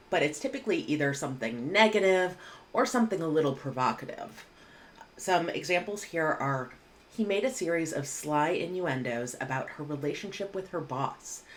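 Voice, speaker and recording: A woman, teaching English vocabulary in a clear, measured way with casual asides, recorded at home on a webcam. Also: deep voice, a little hiss or hum. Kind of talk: vocabulary lesson. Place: home room indoors.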